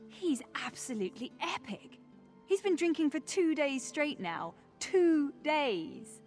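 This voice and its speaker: A brash, amused tavern gossip. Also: female voice, high voice, sing-song, slow pace, darkish tone, soft background music, heavily acted. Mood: amused, boastful. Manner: emphatic, wry, chatty. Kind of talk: fantasy video game acting.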